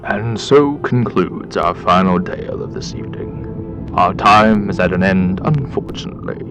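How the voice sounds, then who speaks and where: Deep, slow, theatrical male horror narrator. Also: animated, over music. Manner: dramatic, with short weighted phrases. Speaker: narrator and host of a horror anthology. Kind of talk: theatrical scripted horror narration in 1920s radio vein.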